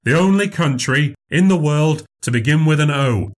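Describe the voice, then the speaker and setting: A man's voice: a little high, expressive, normal speed, pitched above the performer's own voice, natural voice. A man voicing a formal, eager memory showman who keeps calling people sir and madam. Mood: showy, polite. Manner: showy, overly polite, says "sir" and "madam".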